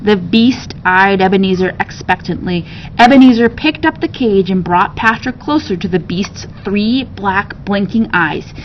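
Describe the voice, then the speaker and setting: A woman reading a children's storybook aloud with expressive narration. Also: measured pace. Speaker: story reader. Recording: dull sound with rumbling background noise.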